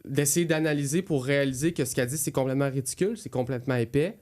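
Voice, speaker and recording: A man speaks with a Quebec French accent in a casual podcast conversation. His voice sits at an average pitch, and he comes across as a candid co-host, sharing personal experience in loose, colloquial phrasing. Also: fast talker, darkish tone. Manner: candid, colloquial, acts out inner thoughts.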